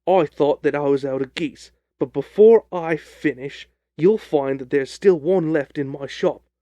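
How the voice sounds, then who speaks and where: A man voicing a surly, cocksure, quick-tempered Victorian poultry salesman in a blustering, combative storybook performance. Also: on the high side, mellow, dry recording, close to an everyday voice. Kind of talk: audiobook character voice.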